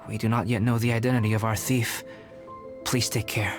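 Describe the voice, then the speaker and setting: A man's voice: mid-range voice, little pitch movement, close to an everyday voice. Man as a composed young scholar over music. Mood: composed, careful. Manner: measured, composed, polite, precise. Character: calm, methodical young male scholar, courteous and careful. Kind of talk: video game character voice acting. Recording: game music behind the voice.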